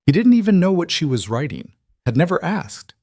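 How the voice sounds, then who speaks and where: A man's voice: fairly high pitch, sing-song, brisk, darkish tone, no echo, clean studio sound. A man reading scripted, dramatic story narration in the manner of an audiobook.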